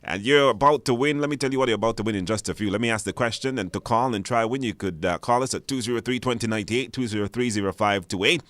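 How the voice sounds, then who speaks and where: A man's voice: medium pitch, quick pace, few pauses, fairly bright tone, no room sound. A man hosting a live radio show in a conversational way, repeating phrases for emphasis.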